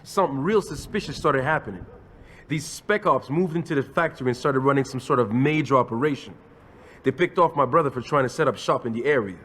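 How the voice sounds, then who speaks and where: A man's voice: medium pitch, fairly quick, a bit bright, close to an everyday voice. Man playing echoing streetwise arms dealer. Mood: easygoing, confiding. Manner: slangy, swaggering street talk. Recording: long echoing reverb.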